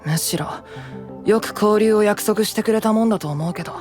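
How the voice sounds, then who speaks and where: Man as a high-voiced, cool young knight. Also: a little fast, a little mellow, faint music behind, close to an everyday voice. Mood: cool, blunt. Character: cool, guarded, perceptive young male knight. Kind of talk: anime-style voice drama acting.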